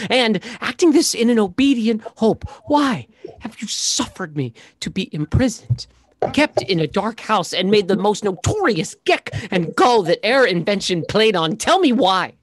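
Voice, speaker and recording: A man's voice: sits high, dry recording. A man playing a wronged, indignant steward in a Shakespeare comedy read. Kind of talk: live table read of Shakespearean verse drama. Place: indoor room, video call.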